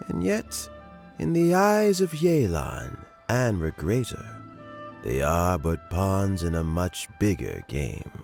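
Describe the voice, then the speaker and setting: An actor playing a wise elderly spymaster in a game, giving calm, measured advice. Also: male voice, sits low, vocal fry, exaggerated voice. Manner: measured, advising, gently paced. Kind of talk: game voice acting. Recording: game audio with faint music. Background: faint music.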